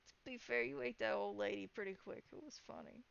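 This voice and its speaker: A woman's voice: a little high, vocal fry, fairly bright tone, no echo, highs cut off, voice pushed up. A woman voicing a nervy, hedging male informant. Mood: pleading. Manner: hedging and halting, eager to placate. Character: cornered male informant, nervy, evasive, pleading.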